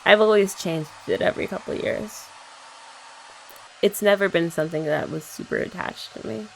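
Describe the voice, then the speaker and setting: A young woman in a sweet, chatty girlfriend roleplay for ASMR, talking softly and often laughing gently. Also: mid-range voice, moderate pace, gravelly, slight background noise, poor audio.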